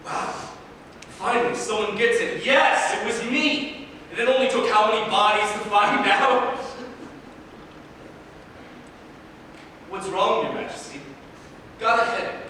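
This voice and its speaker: A man's voice: sits high, normal speed, sharp tone. A man playing a gloating, bitter, vengeful villain in a staged play reading, with a mocking, theatrical delivery. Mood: smug, mocking. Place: large echoing room, staged reading. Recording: echoey hall from far, faint noise.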